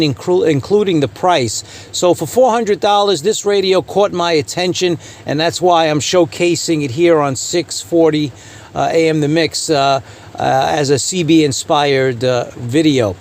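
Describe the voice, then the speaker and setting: A man with a slightly high-pitched voice hosts an informal gear review. His delivery is halting, broken up by frequent filler pauses, and he often circles back to repeat a phrase. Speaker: channel host reviewing radio gear. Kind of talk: informal product review commentary.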